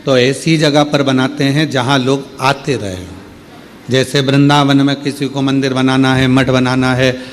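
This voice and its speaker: A man's voice: on the high side, fairly bright tone. Man preaching with rising emphasis. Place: open-air religious gathering.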